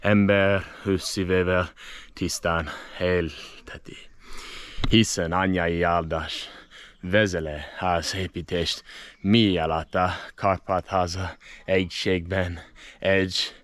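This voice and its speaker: A man narrating a casual walking travel vlog, telling local tales in a playful, theatrical way with dramatic pauses. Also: sits low, level tone, fairly bright tone, no echo. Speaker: vlog host and storyteller. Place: outdoors, walking in a hilly lakeside village and vineyards.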